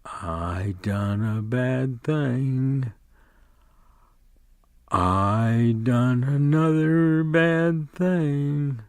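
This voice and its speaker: A big, slow-witted man with a childlike mind, as a man reading the story puts him on. He talks in a simple, pleading drawl, pitched above the reader's own voice. The recording is dry and close, like a storybook reading. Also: lively intonation, smooth, dry recording. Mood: hushed fear.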